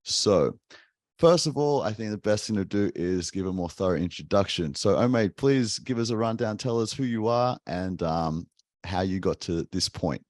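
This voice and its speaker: A man's voice: on the low side, animated, normal speed, darkish tone, no echo, studio quality. A man hosting a casual interview, upbeat and encouraging, with casual slang. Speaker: podcast host.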